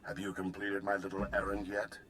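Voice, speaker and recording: A low, bored, disdainful commander. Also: male voice, completely flat delivery, fairly quick, a bit bright, slight background noise. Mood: bored, scornful. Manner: weary, condescending. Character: bored, disdainful male military superior, cold villain. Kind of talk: video game voice acting.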